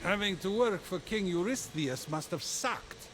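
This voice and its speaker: A man's voice: sits high, expressive, measured pace, background noise. A man playing a brash, irreverent Greek god in a video game, flippant and childish with casual, slangy delivery. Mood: amused, gleeful. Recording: music behind the voice.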